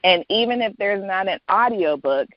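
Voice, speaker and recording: A woman's voice: mid-range voice, expressive, normal speed, no room sound. A woman speaking as an interview guest and author, chatting casually in a reflective, conversational way. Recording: dull lo-fi sound.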